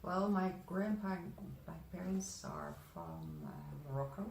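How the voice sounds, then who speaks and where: A woman from the Netherlands giving explanatory interview answers as a guest, halting between short phrases. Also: low-pitched, very animated, creaky, darkish tone. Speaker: guest, saving circles trainer. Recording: echoey room, faint background noise.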